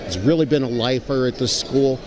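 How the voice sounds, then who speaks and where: A man giving live color commentary and analysis at a high school basketball game. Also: brisk. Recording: clear crowd noise in gym. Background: basketball game crowd.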